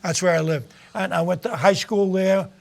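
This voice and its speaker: Old new guy in his 90s, gravelly and kinda high, rambly jokey talk. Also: fairly even intonation, normal speed, darkish tone. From New York. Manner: rambling, joking, trails off. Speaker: guest singer. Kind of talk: casual chat.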